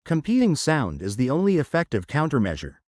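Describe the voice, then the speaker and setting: A man playing a solemn emergency broadcast announcer, reading an eerie official advisory in a formal, measured, detached way for an analog horror drama. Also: on the high side, huge pitch swings, a little mellow, dry recording, studio quality. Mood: ominous, controlled. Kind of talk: scripted analog horror public advisory narration.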